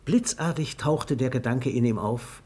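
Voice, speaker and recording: A man's voice: medium pitch, unhurried, a little mellow, breathy edge. A man narrating a literary radio play in a scripted storytelling style.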